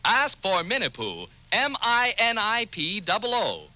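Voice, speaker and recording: A man reading a radio commercial in a salesy, bright pitch. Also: huge pitch swings, a little slow, very noisy. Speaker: commercial announcer. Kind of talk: 1950 radio ad.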